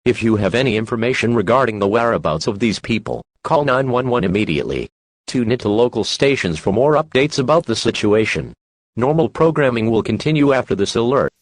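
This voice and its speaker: A man voicing an impersonal emergency broadcast announcer, reading formal alerts in a measured, flat way. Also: medium pitch, no echo, natural voice.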